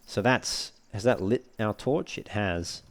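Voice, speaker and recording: Male let's player, low-pitched, talking casually through a game and reasoning aloud as he goes. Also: takes it slowly, a little hiss or hum. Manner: thinks aloud while reading menus. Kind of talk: casual gameplay walkthrough commentary.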